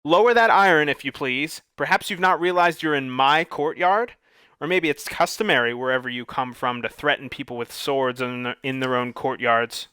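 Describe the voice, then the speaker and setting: A man's voice: mid-range voice, moderate pace, bright, forceful tone, clean tone, dry recording, natural voice. Man doing a blustering bear-headed monster. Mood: indignant. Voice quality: roaring, blustering.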